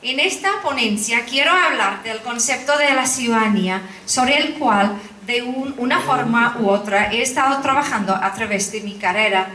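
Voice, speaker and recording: A woman reads a conference paper in a careful, measured way, her showing through. Her voice sits fairly high, and she sounds far from the mic. A large hall echoes around her. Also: sharp tone, a little hiss or hum. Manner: careful, measured reading with hesitations. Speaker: conference speaker. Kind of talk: academic talk read from a script.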